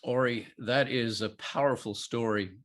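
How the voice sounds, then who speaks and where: Mid-pitched man hosting an interview. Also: steady tone, measured pace. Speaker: podcast host and interviewer, former detective. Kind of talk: conversational interview.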